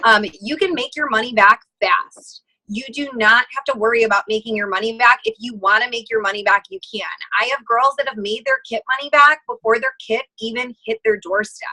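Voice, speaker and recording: A woman on a conference call giving a casual motivational sales pitch, earnest and encouraging in manner. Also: brisk, sharp tone, rough recording. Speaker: sales team member.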